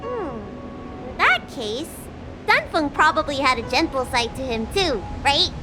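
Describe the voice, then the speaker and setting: A woman's voice: sits high, big pitch swings, unhurried, over music, rough recording. A woman voicing an earnest, candid young girl healer of dragon lineage in a fantasy game. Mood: curious.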